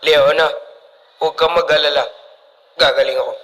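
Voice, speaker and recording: A romantic, hardworking young Filipino husband with a fairly high male voice, played by a teenage boy. He speaks in the manner of a student radio drama. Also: fairly even intonation, normal speed, fairly bright tone, natural voice, low-quality recording. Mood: reassuring.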